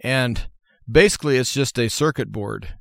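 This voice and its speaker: An American man with a medium-pitched voice talks through practical advice as a show host. His delivery is informal and plainspoken, with a folksy, explanatory feel. The recording is very dry, with no room sound. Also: moderate pace, vocal fry, fairly bright tone, no room sound. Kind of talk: informal how-to monologue.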